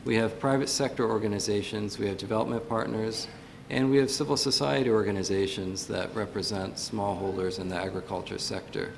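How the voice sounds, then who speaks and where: A man's voice: steady tone, a little fast. A man giving earnest panel remarks at a conference, with fillers and restarts. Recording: gravelly voice in a reverberant hall, faint rumble.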